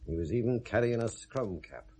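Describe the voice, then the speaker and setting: An actor playing a world-weary, compassionate senior policeman in a radio drama, speaking in a measured, ruminative way. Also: on the low side, animated, mellow. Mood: matter-of-fact. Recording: vintage 1970s radio recording.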